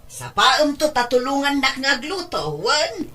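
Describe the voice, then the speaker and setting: A woman's voice: high-pitched, big pitch swings, a little slow. A woman playing a hospitable, generous village auntie with an Ilocano accent in a comedy drama, easygoing and folksy. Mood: friendly, inviting.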